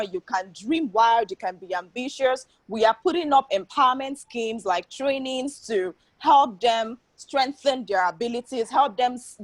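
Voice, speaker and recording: A woman's voice: fairly high pitch, no echo. A woman giving earnest, explanatory interview answers as the founder of an organization, often checking in with a questioning tag. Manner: often tags statements with a questioning "right?".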